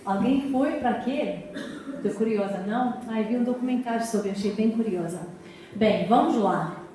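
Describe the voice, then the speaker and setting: A woman's voice: fairly high pitch, a little slow, slight background noise. An American woman from Massachusetts with a Portuguese accent, English her first language, giving a chatty public lecture as a historian and checking in with the audience. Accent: Portuguese (Azorean family), American English. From United States, Massachusetts. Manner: warm, chatty, asks the audience questions, frequent "tá?" check-ins. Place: hall of a cultural society. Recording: echoey hall, mic far off.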